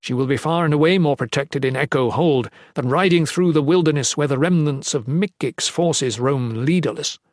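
A man reading the part of a scornful, commanding fantasy leader in a forceful, formal manner. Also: a little high, moderate pace, few pauses, no echo, studio quality, close to an everyday voice. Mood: imperious. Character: scornful, commanding male leader, unyielding and imperious. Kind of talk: fantasy audiobook character reading.

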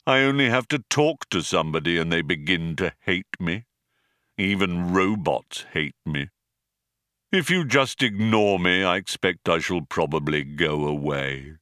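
A gloomy robot acted by a male audiobook reader speaks in a slow, muffled drone. He sounds dejected and self-pitying, resigned to being disliked. The sound is dry, with no room around it. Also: deep voice, expressive, sharp tone, clean tone, dry recording, voice pushed down, heavily acted. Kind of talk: audiobook character voice.

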